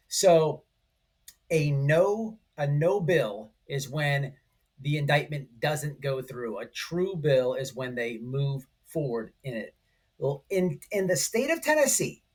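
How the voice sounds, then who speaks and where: A man giving casual, excitable online commentary, speaking emphatically to his viewers and repeating his points. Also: on the high side. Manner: excitable, emphatic, repetitive, addressing viewers directly. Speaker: online commentary channel host. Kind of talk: casual, informal video commentary.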